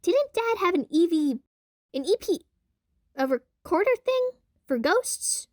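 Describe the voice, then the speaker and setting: Woman voicing an excitable, high-pitched nerdy girl. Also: slow, no echo, clean studio sound, heavily acted. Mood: eager, chatty. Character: excitable, nerdy young twin girls who love experiments.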